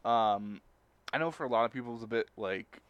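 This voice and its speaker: A man's voice: medium pitch, brisk, clean tone, no echo, dull audio. A man co-hosts a loose group conversation in a mid-range voice. He rambles and halts as he finds his words, and the sound is dry, with little room to it. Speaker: podcast co-host. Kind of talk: casual group chat.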